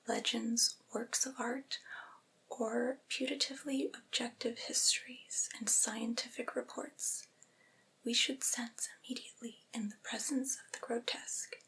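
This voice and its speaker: A woman reading literary prose aloud in a breathy, unvoiced ASMR whisper, with measured, deliberate delivery. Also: a little high, bright, forceful tone, low-quality recording.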